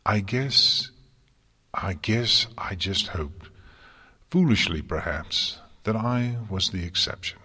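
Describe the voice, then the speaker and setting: A man's voice: low voice, expressive, slow pace, sharp tone, breathy edge, exaggerated voice. A man voicing a distraught young female unicorn student in a story, emphatic, bitter and anguished. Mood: subdued, sorrowful. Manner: emphatic, anguished, sarcastic delivery.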